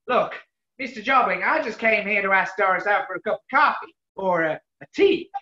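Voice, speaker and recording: An actress playing a brassy New York character with an American accent, brash and animated, in 1940s radio comedy style. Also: female voice, medium pitch, moderate pace. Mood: eager, puzzled. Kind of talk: 1940s radio play acting. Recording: roomy, muffled online recording.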